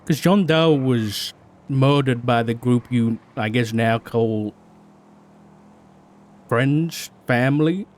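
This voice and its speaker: A sober male lawman played by a man in a roleplay game with a medium-pitched voice. He is patient and terse, questioning a fallen colleague in serious, measured phrases. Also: a little mellow, lightly acted. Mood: probing, reproachful. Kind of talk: improvised crime roleplay phone conversation.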